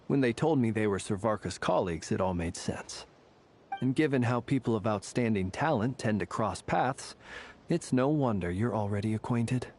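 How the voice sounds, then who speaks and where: A man's voice: fairly low pitch, animated, normal speed, darkish tone, slight background noise. A man playing a composed, genteel warrior in a video game, speaking formally and at length. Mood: genial, satisfied. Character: courteous, eloquent, composed gentleman warrior.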